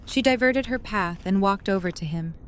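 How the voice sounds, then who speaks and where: A woman's voice: mid-range voice, moderate pace, a little hiss or hum. A woman narrator reading scripted third-person story narration for an audio drama.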